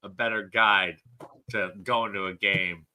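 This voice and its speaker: Chicago guy, sharp tense voice, excitable, swears, stammers, joining remotely. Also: lowish voice, unhurried. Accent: American. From Chicago, United States. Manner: excitable, swears a lot, stammers and repeats himself. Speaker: podcast co-host. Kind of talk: casual livestream banter.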